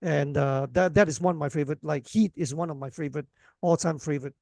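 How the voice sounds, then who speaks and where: A middle-aged man talking casually as an actor guest on a podcast interview, relaxed and rambling, often asking "you know what I mean" and laughing. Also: a little high, fast, gravelly, no echo, studio quality. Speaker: actor and action choreographer guest.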